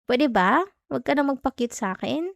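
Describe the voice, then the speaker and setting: High-voiced sulky Filipino girlfriend played by an actress. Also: lively intonation, brisk, creaky, no echo, clean studio sound. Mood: irritated, defensive. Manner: defensive, clipped, teasing Taglish. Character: jealous, sulky young student girlfriend, easily irritated. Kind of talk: ASMR girlfriend roleplay.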